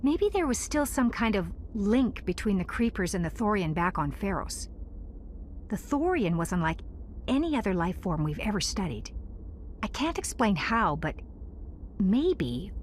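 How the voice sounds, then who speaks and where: A woman's voice: mid-range voice, sing-song, normal speed, slight background noise, close to an everyday voice. A woman playing a guilty, self-serving scientist in a video game, reasoning hesitantly and persuasively. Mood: uneasy explaining.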